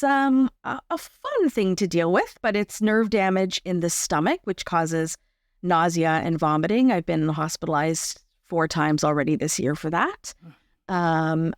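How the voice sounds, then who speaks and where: A woman's voice: lowish voice, dry recording. Candid woman, dry close recording. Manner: candid, wry. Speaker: podcast guest. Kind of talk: conversational personal account.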